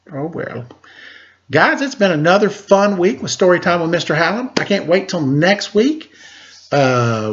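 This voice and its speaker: A man's voice: mid-range voice, muffled recording. A man hosting a storytime for children, reading aloud and chatting casually to his young listeners.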